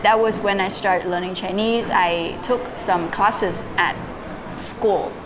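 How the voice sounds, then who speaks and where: A young woman from Vietnam, a native Vietnamese speaker, telling her story as a podcast guest in a relaxed way with fillers and soft laughs. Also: mid-range voice, takes it slowly. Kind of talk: casual interview, recounting her story. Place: live-streamed online call. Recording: audible background noise.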